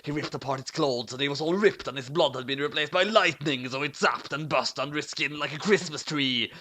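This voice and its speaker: A man's voice: fairly high pitch, brisk, pushed and bright, a little hiss or hum. A man reading a parody story in a theatrical, bombastic, mock-childish narrating style. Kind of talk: dramatic parody reading of a childish fan fiction.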